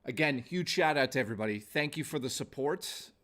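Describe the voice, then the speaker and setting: A man's voice: medium pitch, animated, brisk, a bit bright. Casual middle-aged man chatting on a livestream. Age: 40s. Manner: informal, exclamatory, sweary. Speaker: gaming livestreamer. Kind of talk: casual livestream chat with viewers.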